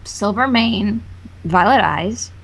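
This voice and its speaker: A woman's voice: medium pitch, animated, takes it slowly, vocal fry, fairly bright tone, low-quality recording. A woman co-hosting a fan fiction reading with casual, jokey banter. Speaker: co-host and character reader.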